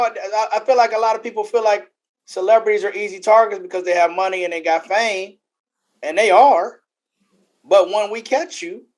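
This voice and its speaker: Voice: medium pitch, low-quality recording. An American podcast co-host giving opinions in casual panel talk, speaking emphatically and often repeating phrases for weight.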